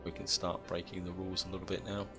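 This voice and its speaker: British guy with a cold, croaky and deep, rambling thru his gameplay, music under it. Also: monotone, fast talker. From Britain. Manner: thinks aloud, chatty, addresses viewers as guys. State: croaky from a heavy chest cold. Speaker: gameplay commentator. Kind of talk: casual game commentary.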